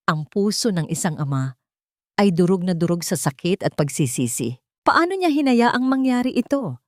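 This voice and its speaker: A woman reads a dramatic audio story with emotive, heightened delivery. Her voice is somewhat low, and the recording is dry and close. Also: a little mellow, no echo.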